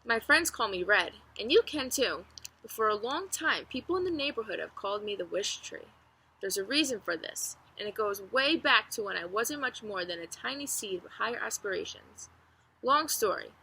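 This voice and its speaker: Woman reading as bright, wry storytelling oak tree. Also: mid-range voice, normal speed, gravelly, breathy edge, heavily acted, poor audio. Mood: friendly, storytelling. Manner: chatty, wry, expressive. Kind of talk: children's storybook narration. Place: outdoors among trees. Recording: outdoor ambient noise, faint birdsong.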